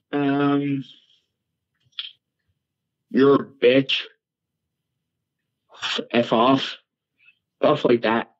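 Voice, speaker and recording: A man giving casual interview answers as a guest, halting and plain-spoken. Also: medium pitch, lo-fi sound. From born in Norway, raised in Newfoundland, Canada. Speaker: guest, paranormal investigator and medium.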